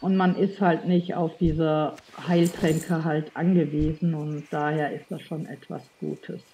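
Slow-talking woman with a slight cold. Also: sits low, flat delivery, background noise. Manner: rambling, chatty, many fillers; addresses viewers directly. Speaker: let's play streamer. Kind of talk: casual gameplay commentary.

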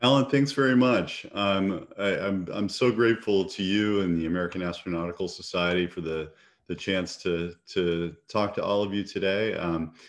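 A man in his fifties beginning a keynote over a video call, speaking with halting restarts. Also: low voice. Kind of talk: opening remarks of a talk. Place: home study with bookshelves, indoors.

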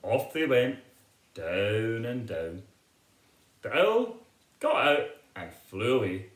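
A middle-aged man tells a children's story in an expressive, swooping voice. He takes his time over each phrase. The sound is roomy, as if recorded in a living room a little way from the mic. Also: medium pitch, slow. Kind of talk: children's picture-book read-aloud. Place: living room at home, indoors.

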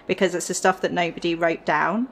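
A woman guest chatting casually in an interview, talkative and quick to laugh. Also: fairly low pitch, fairly even intonation. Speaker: historical consultant, podcast guest.

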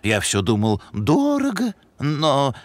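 A man voicing a pompous male elk scientist who lectures his friends in a lofty tone, over loud cartoon music. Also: medium pitch, wildly swooping pitch, slow.

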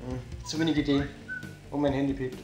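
A man's voice: mid-range voice, little pitch movement, a little fast, darkish tone, roomy sound, soft background music, poor audio. A young man hosting a comedy show with casual, scripted banter. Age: young adult. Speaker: host of a YouTube comedy show. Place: indoor room, on a couch.

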